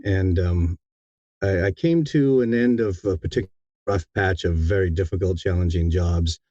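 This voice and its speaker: Low, mellow middle-aged man, dry sound. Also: moderate pace, no room sound. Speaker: interview guest, audiobook narrator. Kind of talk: casual conversational storytelling. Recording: slightly dull, dry audio.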